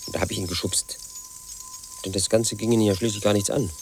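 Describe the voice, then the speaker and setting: An actor in his thirties playing an obsessive, aggrieved man who tells his story in a radio play monologue, in a flat, insistent, quietly resentful way. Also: fairly low pitch, brisk, gravelly, faint music behind. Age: 30s. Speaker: narrator of his own story.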